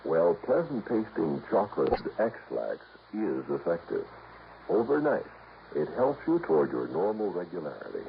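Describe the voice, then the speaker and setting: A man reading a scripted 1950s radio commercial as an announcer, measured and polished. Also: low voice, sing-song, gravelly. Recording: dull, noisy old radio recording.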